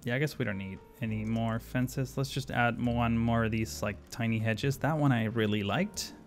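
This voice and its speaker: A man from Argentina streams a city-building game, talking through each choice with plenty of ums and uhs. His voice has a gravelly, creaky edge at a middle pitch. Soft game music plays faintly behind him. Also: normal speed. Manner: thinks aloud with many fillers, reads chat questions and answers them. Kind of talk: live stream commentary while playing.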